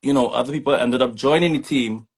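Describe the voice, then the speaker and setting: Man, trinidadian accent, middle pitch, laid-back, easygoing, artist manager. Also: fast talker, slightly rough. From Trinidad and Tobago. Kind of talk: casual interview chat.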